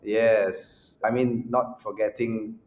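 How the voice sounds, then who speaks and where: A man, a guest in a casual interview who answers in a measured, reflective way and lays out his points in order. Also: fairly low pitch, flat delivery, darkish tone. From Malaysia. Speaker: guest, music school founder. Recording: roomy echo with faint background noise.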